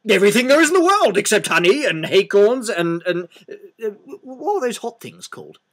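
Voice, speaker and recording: A man voicing a bouncy, boastful young tiger in a storybook reading, excitable and emphatic. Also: high voice, fairly quick, voice pushed up, exaggerated voice. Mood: boastful, flustered.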